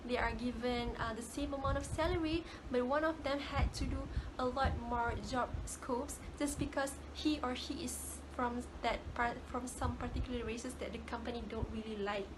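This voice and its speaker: A woman's voice: on the high side, fairly even intonation, moderate pace, hardly any pauses, background noise. A young woman with a Malaysian English accent giving a casual class presentation, often repeating words as she searches for phrasing. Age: young adult. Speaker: student presenter.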